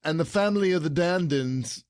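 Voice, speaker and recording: A man's voice: fairly high pitch, normal speed, a little mellow, no echo, close to an everyday voice. A man playing an indignant, proud, jealous husband in a classic comedy audio play, scolding in formal old-fashioned phrasing.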